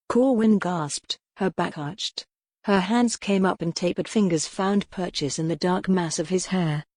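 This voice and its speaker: A woman's voice: lowish voice, darkish tone, dry recording. A woman giving a scripted, dramatic narration of a historical romance audiobook.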